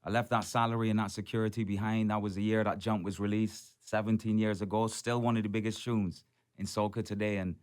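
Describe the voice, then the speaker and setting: A man's voice: fairly low pitch, level tone, brisk, a little mellow, smooth. A Barbadian soca artist in his forties, telling his story on a radio show in an upbeat way and often saying "man" and "blessed". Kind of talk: radio interview.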